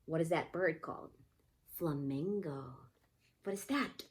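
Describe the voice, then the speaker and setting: A woman's voice: deep voice, measured pace. A woman teaching young children in a read-aloud lesson, explaining simply and prompting with repeated questions. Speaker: pre-K teacher.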